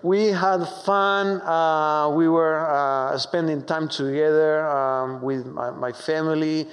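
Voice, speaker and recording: A man from Argentina with a strong Argentine Spanish accent, preaching a sermon with warm, humorous, halting storytelling full of fillers. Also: on the high side, steady tone. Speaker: pastor. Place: church sanctuary. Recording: long hall reverb.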